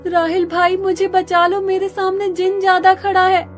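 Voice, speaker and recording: A high, girlish young village woman, played by a female storyteller, anxious and pleading. She speaks like a storybook heroine in trouble, with music clearly playing behind her. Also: level tone, moderate pace. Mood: frightened, pleading.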